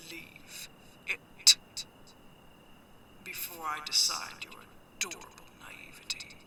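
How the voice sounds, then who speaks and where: A woman acting as a mech pirate captain in a sci-fi audio roleplay. She whispers slowly and teasingly with an imperious edge, a little low for a woman, in a roomy, echoing space. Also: distant mic, exaggerated voice. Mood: stern, impatient. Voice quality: hushed, breathy whisper.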